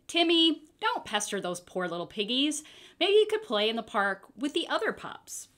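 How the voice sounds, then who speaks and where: A woman's voice: fairly high pitch, sing-song, normal speed, fairly bright tone. A woman voicing a caring, fussy mother wolf in a children's storybook, with a motherly nagging manner. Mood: gently scolding.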